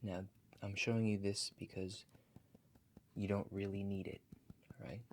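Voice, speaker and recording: A man playing a calm, attentive relaxation agent in a soft-spoken ASMR roleplay, talking slowly and gently, with reassuring instructions. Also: lowish voice, slight background noise, close to an everyday voice. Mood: calmly explaining. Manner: slow, gentle, reassuring, instructive, often checking in. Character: calm, attentive male house-call relaxation agent guiding breathing.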